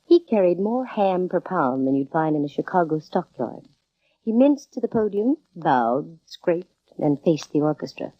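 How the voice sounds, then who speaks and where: Low-voiced, wisecracking lady detective. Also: sing-song, no room sound. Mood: wry narrating. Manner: brisk, dry, self-assured. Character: cool, wisecracking female private detective. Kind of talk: 1940s radio acting, first-person detective narration. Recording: dull vintage radio recording, music underneath.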